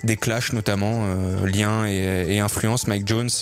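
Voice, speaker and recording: A man's voice: fairly low pitch, level tone, unhurried. A man hosting a music radio show, chatting casually with many fillers and restarts.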